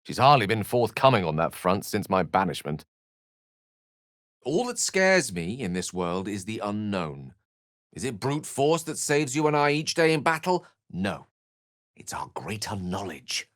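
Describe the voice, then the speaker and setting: A scholarly wizard of middle years in a fantasy video game. His lowish voice moves through a lively range of pitch, with ornate, theatrical phrasing and a wry, learned temper. The recording is close and dry, with no room sound. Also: measured pace, dry recording. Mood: dry amusement. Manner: articulate, theatrical, verbose phrasing. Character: eloquent, wry, scholarly male wizard adventurer.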